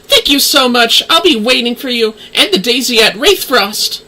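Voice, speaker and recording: A man's voice: extremely high pitch, expressive, normal speed, pushed and bright, voice pushed up, heavily acted. A man voicing a grieving, timid young woman in a video game, with a delicate, hesitant, apologetic delivery. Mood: grateful.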